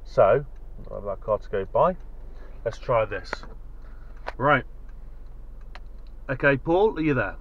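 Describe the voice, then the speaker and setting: A man's voice: medium pitch, animated, slow pace, long pauses. A British man in his forties giving casual, off-the-cuff commentary as he drives and tests radios. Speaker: vlogger testing two-way radios. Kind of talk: casual vlog commentary while driving. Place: inside a car. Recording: dull sound, loud car and road noise. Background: car engine and road noise.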